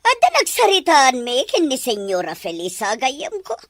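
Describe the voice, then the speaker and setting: A woman's voice: high voice, wildly swooping pitch, moderate pace, no echo, studio quality, exaggerated voice. A woman playing a haughty, scornful rich mother who scolds imperiously in a melodramatic audio drama. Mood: scheming, angry. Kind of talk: melodramatic radio-style drama acting.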